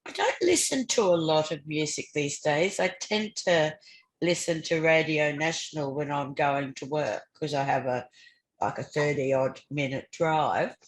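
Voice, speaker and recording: A woman's voice: low-pitched, animated, measured pace. An older woman with an Australian accent chatting casually as a podcast host, often repeating words as she goes. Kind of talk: casual conversation. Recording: roomy, echoey sound.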